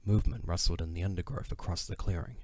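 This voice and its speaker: A man's voice: low-pitched, fast talker, darkish tone, dry recording. A man narrating an audio drama as a scripted story. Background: faint music.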